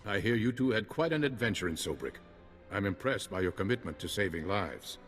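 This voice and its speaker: A man playing an earnest, steady Jedi commander who gives serious tactical briefings in a video game. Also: lowish voice, brisk, close to an everyday voice. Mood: measured. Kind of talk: video game cutscene acting. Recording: long hall reverb, faint game music.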